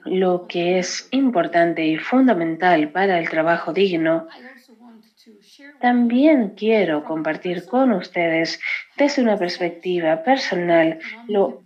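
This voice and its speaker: A woman's voice: medium pitch, a little mellow, lo-fi sound. Older woman giving a formal keynote. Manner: measured, deliberate, formal delivery. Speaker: keynote speaker. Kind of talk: prepared conference keynote speech. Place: indoors, plain wall behind.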